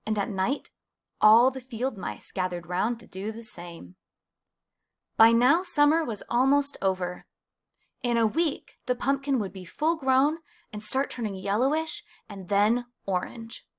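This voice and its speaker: A woman reading a children's picture book aloud, with animated emphasis on key words. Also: fairly high pitch, a little slow. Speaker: storybook reader.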